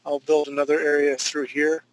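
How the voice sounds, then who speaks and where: A man's voice: on the high side, flat delivery, normal speed, low-quality recording. A man in his thirties teaching a live drawing class, talking through each stroke with chatty joking asides. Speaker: drawing instructor.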